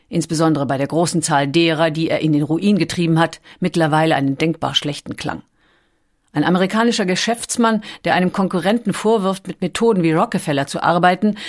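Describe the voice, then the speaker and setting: A woman with a slightly low voice narrates a documentary. She reads from a script in a measured way, putting clear emphasis on key words as she tells the story.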